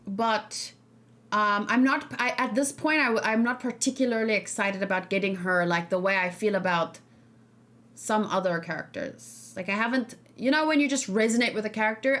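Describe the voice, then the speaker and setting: A woman's voice: on the high side, a bit bright. Casual female streamer, English speaker. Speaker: streamer reacting to game trailers. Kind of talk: casual livestream chat.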